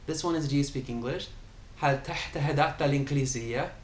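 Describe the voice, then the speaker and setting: A man's voice: medium pitch, very fast. A man giving a casual language lesson, patiently explaining and repeating example phrases. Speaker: language tutor. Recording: echoey room, faint ambient noise.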